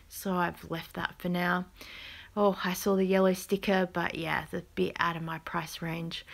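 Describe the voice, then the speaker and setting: A woman's voice: medium pitch, fairly bright tone. Aussie mum chatting away on her phone cam, easygoing, laughs a bit. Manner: chatty, thrifty, laughs now and then. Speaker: vlogger. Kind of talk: casual shopping commentary. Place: supermarket aisles, later at home. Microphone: phone camera held in hand.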